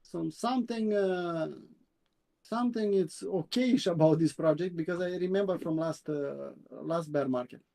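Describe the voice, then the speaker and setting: Romanian guy with a high voice going over crypto charts, lots of uhs, stops and restarts. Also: vocal fry, dark tone. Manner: halting, many "uh" fillers and repeats, asks "yeah?". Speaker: co-host, crypto chart analyst. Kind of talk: casual live-stream chart review.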